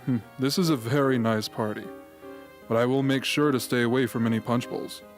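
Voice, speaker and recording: Courtly, guarded official played by a man, mid-pitched, polite radio-play delivery over clear music. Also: steady tone, a little fast, lightly acted. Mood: genial, sly. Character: smooth, genial, guarded game official, adult man. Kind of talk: amateur radio drama acting. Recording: music behind.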